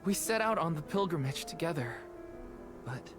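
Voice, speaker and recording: An actor playing an earnest, loyal young male soul guard in a video game cutscene, expressive and emotional. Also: on the high side, moderate pace, natural voice. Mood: troubled, reflective. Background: background music.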